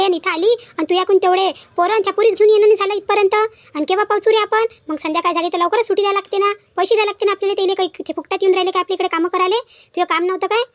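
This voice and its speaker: Woman voicing a very shrill, bossy village auntie. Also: steady tone, rapid-fire, no echo, lo-fi sound. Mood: scolding. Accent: Varhadi Marathi. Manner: scolding, grumbling, chatty. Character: bossy, fussing village auntie, caring about her workers. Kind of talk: cartoon comedy acting.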